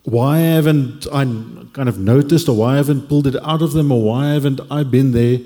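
Reflective man, mellow voice. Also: mid-range voice, normal speed. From Cape Town, South Africa. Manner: reflective, hesitant, many fillers. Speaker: panel guest. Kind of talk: candid personal sharing on a church panel. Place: large church hall. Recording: long hall reverb.

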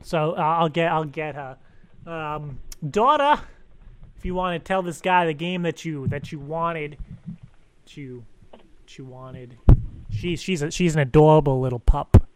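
A man's voice: fairly high pitch, close to an everyday voice. A man playing a bumbling, barely literate father on a prank call, earnest, stuttering and repeating his words. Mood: bumbling, apologetic. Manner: halting, stuttering repetition of words. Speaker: prank caller. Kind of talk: improvised prank phone call.

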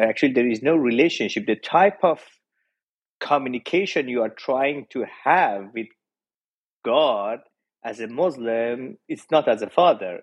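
A man in his forties from Iran with a Persian accent, a pastor telling his personal story earnestly as a podcast guest, pausing often to find his words. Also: medium pitch, slightly rough, highs cut off. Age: 40s. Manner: earnest and reflective, with frequent hesitations. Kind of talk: personal testimony in an interview.

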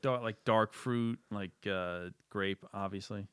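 A man's voice: fairly low pitch, slow, fairly bright tone, smooth, no echo. A man in casual chat as a co-host, with dry, sarcastic remarks.

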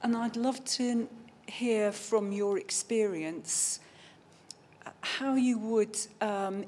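A woman in the audience asking a panel a measured, articulate question. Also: mid-range voice, a little hiss or hum. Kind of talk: question from the floor.

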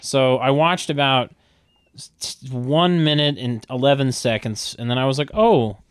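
A man's voice: medium pitch, unhurried, clean tone, no room sound, clean studio sound. A man chatting casually and jokingly as a podcast co-host who handles the stage directions. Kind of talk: casual comedic group chat.

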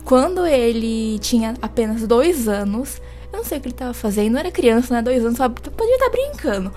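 A woman's voice: fairly high pitch, very animated, brisk, a little mellow. A young woman co-hosting a true-crime podcast, rambling with fillers and posing dramatic questions. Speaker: podcast co-host. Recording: faint music behind.

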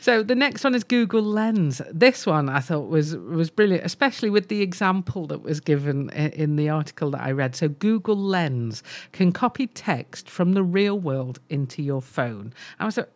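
A woman's voice: low voice, animated, moderate pace, hardly any pauses. A woman co-hosting a business podcast, explaining tech features enthusiastically and thinking aloud with restarts. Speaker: co-host. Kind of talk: casual business podcast chat.

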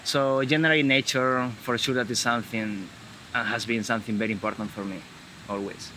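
A man from Galicia in Spain with a Spanish accent, talking in a casual conversation in halting English and often restarting his sentences. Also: medium pitch, steady tone, sharp tone, slight background noise. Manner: halting, searching for words, frequent restarts.

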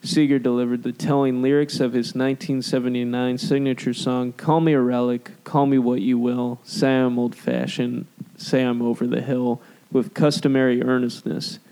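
A man's voice: mid-range voice, little pitch movement, normal speed, few pauses, mellow, low-quality recording. A man co-hosting a casual podcast chat. He is losing his voice, laughs often, and reads review quotes aloud. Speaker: podcast co-host.

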